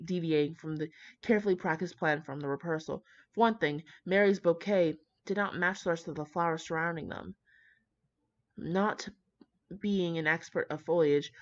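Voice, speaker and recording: Brash young woman, muffled lo-fi recording. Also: sits low. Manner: brash, slangy, profane. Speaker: fan fiction reader. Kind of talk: reading fan fiction aloud with casual commentary.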